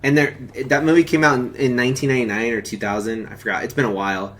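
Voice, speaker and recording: A man's voice: mid-range voice, fairly even intonation, quick pace, fairly bright tone. A man hosting a stream, chatting casually about movies in a rambling, casually profane way. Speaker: stream host. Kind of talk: casual film commentary chat.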